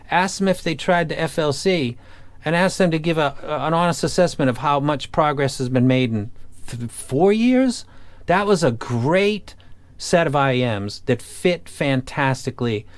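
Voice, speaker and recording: A man's voice: on the high side, no echo. A man talking casually and off the cuff as an online audio gear reviewer, blunt, opinionated and colloquial. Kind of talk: casual unscripted review talk.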